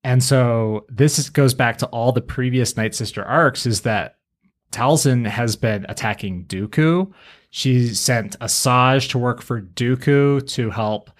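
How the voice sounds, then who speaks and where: A man's voice: mid-range voice, measured pace. A man hosting a podcast, talking through a fan analysis of a fictional story. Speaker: podcast host.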